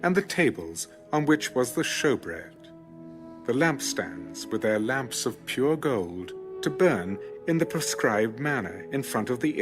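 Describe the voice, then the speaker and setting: A man narrates scripture in a formal, measured reading with a lively, varied pitch. Instrumental music plays clearly behind his voice. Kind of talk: scripted audio Bible narration, read aloud.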